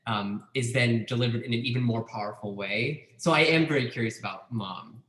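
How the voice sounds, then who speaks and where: A man's voice: mid-range voice, a little fast, a bit bright, roomy sound. A man in an audience discussion on a video call, chatting with lots of "like". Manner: chatty, heavy use of "like". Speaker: audience member. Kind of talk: casual Zoom discussion.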